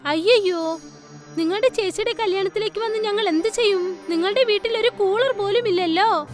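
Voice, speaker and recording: A woman voicing the mothers and girls in a cartoon story, speaking in a clear, coaxing way. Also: very high-pitched, moderate pace, over loud music. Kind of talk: cartoon voice acting.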